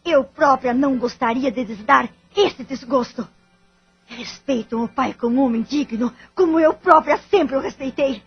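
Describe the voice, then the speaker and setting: A woman's voice: high-pitched, a little slow, slightly rough, muffled recording, faint music behind, exaggerated voice. A woman acting in an audio drama with a Brazilian accent, playing a bitter, wounded wife and devoted mother in formal, melodramatic phrasing. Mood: reproachful, hurt.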